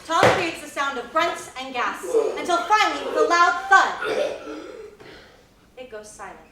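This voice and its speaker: A woman's voice: sits high, very animated, a little slow. A woman playing a reader of stage directions and emergency bulletins, emphatic in a scripted narration style. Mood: narrating. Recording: echoey hall, distant mic, loud noise.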